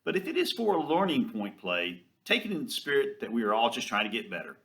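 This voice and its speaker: Bright, forceful man presenting. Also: medium pitch, big pitch swings, quick pace. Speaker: training film presenter. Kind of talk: scripted introductory narration.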